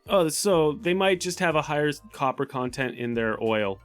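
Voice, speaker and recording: A man's voice: on the high side, expressive, normal speed, soft background music. A man chatting casually and matter-of-factly as a player in a tabletop game.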